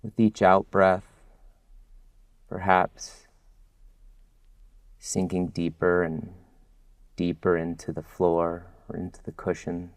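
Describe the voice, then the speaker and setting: A man leads a guided meditation in a low, level voice. He speaks very slowly and gently, leaving long soothing pauses between short phrases. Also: little pitch movement, dry recording. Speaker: mindfulness instructor. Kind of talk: guided body scan meditation.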